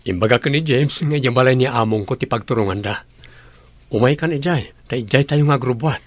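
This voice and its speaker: A man's voice: medium pitch, a little fast, no room sound, lightly acted. A man playing a humble, earnest young working man in a radio drama. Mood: friendly.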